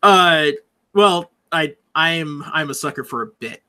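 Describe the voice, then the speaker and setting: A man chatting casually as a show guest, talking in a halting, informal, giggly way. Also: a little high, animated, bright, forceful tone. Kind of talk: casual chat.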